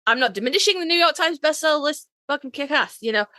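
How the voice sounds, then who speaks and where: Bright-voiced woman, emphatic and sweary. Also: high voice, expressive, fast talker, no room sound, studio quality. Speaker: podcast co-host; author. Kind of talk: casual podcast chat.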